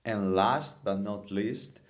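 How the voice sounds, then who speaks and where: A man's voice: on the low side, slow. A man in his twenties from Spain, with a Spanish accent, teaching a casual English lesson and often repeating words for emphasis. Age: 20s. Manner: non-native phrasing, repeats words for emphasis.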